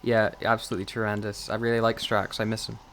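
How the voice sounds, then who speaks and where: A man's voice: on the low side, little pitch movement, fast talker, a bit bright, slight background noise. A man co-hosting a casual podcast, talking in a rambling, scatterbrained way and swearing freely. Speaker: podcast co-host.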